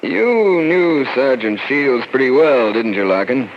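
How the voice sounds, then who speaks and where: An actor playing a blunt army colonel who talks in a hesitant, matter-of-fact way. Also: male voice, mid-range voice, expressive, measured pace, faint music behind. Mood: grim. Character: blunt middle-aged male army colonel. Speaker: colonel at the funeral parlor. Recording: dull distant radio recording.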